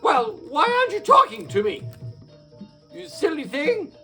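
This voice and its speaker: A man voicing a greedy, cheeky hoofed creature in a told story, with high excited squeals and teasing talk. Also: measured pace, faint music behind, full character voice.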